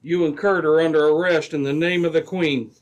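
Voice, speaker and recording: A man's voice: on the high side, fairly even intonation, fairly quick, muffled recording, close to an everyday voice. A man playing a firm, authoritative Mountie sergeant in an old-time radio adventure, giving clipped orders. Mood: commanding. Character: firm, commanding male Mountie sergeant, steady lawman.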